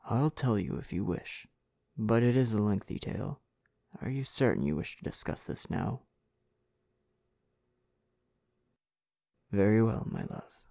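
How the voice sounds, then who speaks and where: A man playing a tender, devoted prince in an intimate ASMR roleplay, soothing and formal in his phrasing, with a breathy voice that often drops to a whisper. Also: lowish voice, animated, normal speed, warm tone, dry recording, clean studio sound. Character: tender, devoted prince fiancé back from war.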